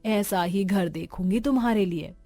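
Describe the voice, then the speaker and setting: A woman's voice: medium pitch, normal speed, warm tone, no echo, faint music behind, natural voice. A woman voicing a poor, devout, long-suffering village mother in an earnest, plaintive and tender way. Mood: plaintive devotion.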